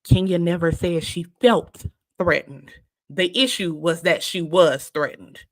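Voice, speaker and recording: A woman's voice: fairly low pitch, unhurried. A woman in her thirties giving casual, emphatic commentary straight to her viewers, repeating her points. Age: 30s. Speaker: reality TV reviewer.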